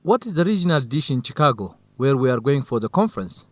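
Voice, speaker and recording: A man's voice: mid-range voice, sing-song, quick pace, no room sound, lightly acted. An actor playing a polite, fair-minded, optimistic man, earnest and conciliatory, in an educational radio drama. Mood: interested.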